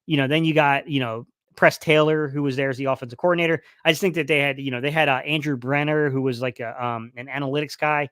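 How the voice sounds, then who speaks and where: A man's voice: mid-range voice, fairly even intonation, fast talker, few pauses, a bit bright, clean tone, no echo, studio quality. Rambling man hosting a football live stream. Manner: rambling, many fillers and restarts. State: losing his voice a little. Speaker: sports livestream host. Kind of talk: casual live-stream football talk, reading chat.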